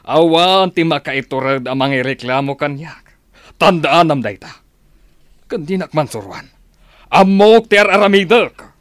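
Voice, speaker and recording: Arrogant Filipino tough, raised pitch. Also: male voice, expressive, measured pace, dry recording, clean studio sound. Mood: defiant, dismissive. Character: arrogant, defiant gun-toting village man. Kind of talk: radio drama acting.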